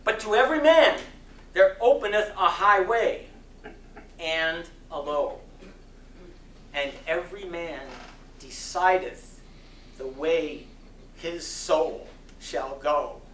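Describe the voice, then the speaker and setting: A man delivers a sermon as a dramatic reading in a bright, forceful voice. His phrasing is very slow and deliberate, with impassioned oratorical emphasis. He is recorded from a distance in an echoey hall. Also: on the high side, animated. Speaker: reader of a sermon. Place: community center hall. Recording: distant mic in echoey room, faint noise.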